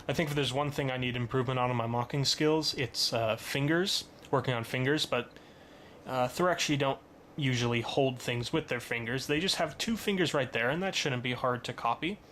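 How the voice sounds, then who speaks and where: Bright-voiced man giving a halting tutorial. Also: mid-range voice. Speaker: hobbyist presenter of a toy-building how-to. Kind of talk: casual tutorial narration. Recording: noticeable background noise.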